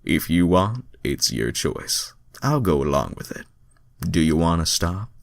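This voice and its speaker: A man's voice: low-pitched, big pitch swings, a little slow, vocal fry, no echo, studio quality, heavily acted. A man voicing a laid-back, slightly cocky male hedgehog hero in a fan fiction reading. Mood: easygoing.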